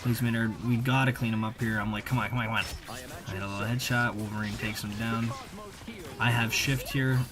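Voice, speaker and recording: A man's voice: mid-range voice, fairly even intonation, fairly quick. A man giving casual, slangy, conversational voice-over commentary on video gameplay, as a gaming video creator.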